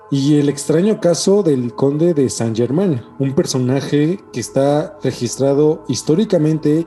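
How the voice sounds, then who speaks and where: A man's voice: mid-range voice, warm tone. A man with a Mexican accent, hosting and chatting casually on a podcast. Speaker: podcast host. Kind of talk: casual podcast chat with announced intro.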